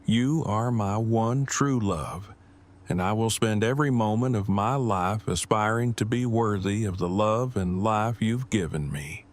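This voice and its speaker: A man acts out an earnest, devoted bridegroom in an audio drama. His voice sits low, and he talks in long, precise, formal sentences at a measured, bookish remove. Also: natural voice.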